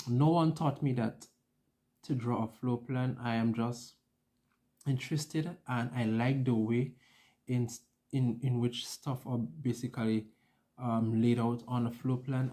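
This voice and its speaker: A man's voice: medium pitch, mellow. A young man chatting casually to an audience, telling personal stories and acting out what was said. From an island. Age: young adult. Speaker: vlogger. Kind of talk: casual personal storytelling to camera.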